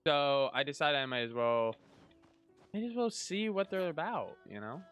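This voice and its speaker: A man's voice: a little high, expressive, moderate pace, a bit bright, clean tone, soft background music. A man giving casual let's-play commentary as a streamer, informal and chatty. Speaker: streamer, gamer.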